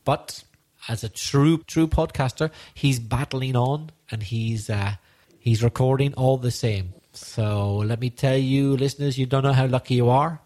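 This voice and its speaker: A man's voice: medium pitch, steady tone, unhurried, mellow. A man co-hosting an English-learning podcast, chatting in a friendly, encouraging way. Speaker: podcast co-host, English teacher. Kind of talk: teaching podcast chat.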